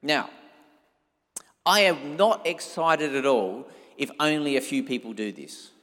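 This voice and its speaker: A man's voice: mid-range voice, a little slow. Strong-voiced male preacher, echoey hall. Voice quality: loud, strong voice. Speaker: pastor. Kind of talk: sermon, informal and conversational. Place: church hall, amplified by sound system. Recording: echoey hall with long reverb.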